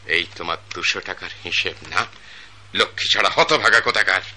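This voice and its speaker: A man's voice: deep voice, animated, brisk, bright, forceful tone, exaggerated voice. A stern rich father. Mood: scornful. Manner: imperious, scolding. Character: stern wealthy middle-aged father, master of house. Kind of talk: radio drama acting.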